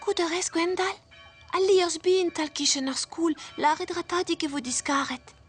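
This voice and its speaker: Very high-pitched, spirited little girl. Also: a little slow. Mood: curious. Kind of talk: dubbed children's cartoon acting. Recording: loud music under the voice.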